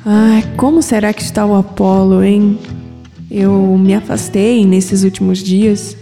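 A woman as a pensive young Brazilian woman, slow. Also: medium pitch, level tone, in a large hall, music in the background, close to an everyday voice. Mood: pensive, anxious. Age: young adult. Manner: pensive, sighing. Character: troubled, introspective young skeptical woman. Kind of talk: scripted audio drama acting.

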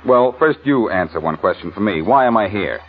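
Through a thin, tinny old radio recording comes a cocky American amateur sleuth. His low voice swings playfully in pitch, glib and wisecracking in classic radio drama style. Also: animated, fairly quick, slightly rough, soft background music. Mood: flippant teasing. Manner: glib, flippant, teasing. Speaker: radio actor. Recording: muffled, narrow-band old radio recording.

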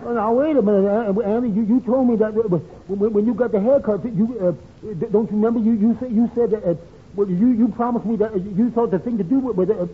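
An actor playing a meek, easily rattled barber who stammers heavily and abandons sentences, in old radio comedy style. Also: male voice, high-pitched, quick pace, breathy edge. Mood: defensive. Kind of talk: 1940s radio comedy acting. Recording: scratchy, tinny old-time radio recording.

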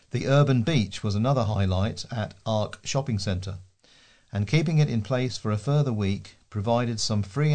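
Male volunteer newsreader, fairly low pitch, reading prepared community features. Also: darkish tone. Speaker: volunteer newsreader for a talking newspaper. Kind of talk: read-aloud scripted local news feature.

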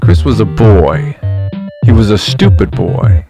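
Man doing a ruthless crime boss, very low voice, gravelly, menacing, synth music behind. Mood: cold. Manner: menacing, measured, condescending. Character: ruthless male crime boss, cold and commanding.

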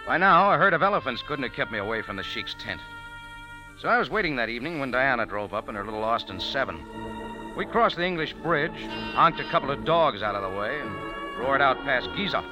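An actor plays a wisecracking American cafe owner in an old radio play. He narrates in a wry, hardboiled voice that swings with lively pitch. Music plays clearly beneath the broadcast. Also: brisk, natural voice, poor audio. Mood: narrating. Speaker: narrator and lead. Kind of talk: 1940s radio acting.

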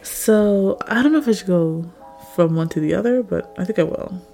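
A young woman with a raspy, slightly hoarse voice from a cold, chatting casually to her listeners as a podcast host, rambling with lots of fillers. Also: lowish voice, normal speed, warm tone, faint music behind.

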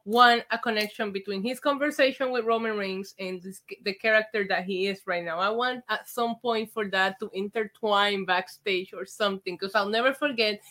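A woman from Puerto Rico co-hosting a casual wrestling review chat, animated and chatty with lots of "like". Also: medium pitch, moderate pace, few pauses. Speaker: wrestling show co-host.

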